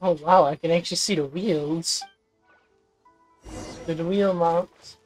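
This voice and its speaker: A woman's voice: lowish voice, steady tone, very slow, darkish tone, soft background music, low-quality recording. A woman streamer giving casual gameplay commentary in short, offhand remarks. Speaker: game streamer.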